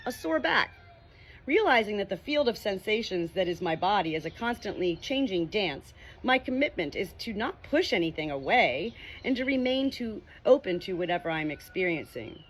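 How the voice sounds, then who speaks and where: A woman's voice: medium pitch, fairly bright tone. Woman narrating a book, noisy background. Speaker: audiobook reader. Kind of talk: scripted book narration on meditation.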